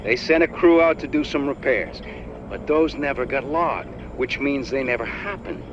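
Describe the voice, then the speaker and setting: An actor playing a grumbling, sarcastic engineer in a video game, speaking in a gruff, colloquial way. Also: male voice, mid-range voice, normal speed, muffled recording, noisy background, lightly acted. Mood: annoyed, sarcastic. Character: grumbling, sarcastic male engineer guiding an agent. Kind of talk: video game mission dialogue. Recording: music behind the voice.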